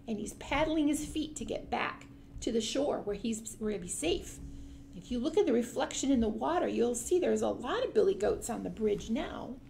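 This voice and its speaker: A woman's voice: fairly high pitch, creaky, dark tone, a little hiss or hum. A woman hosting a children's storytime, explaining things simply and putting questions to young listeners. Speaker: library storytime host. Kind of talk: children's storytime read-aloud with asides and questions.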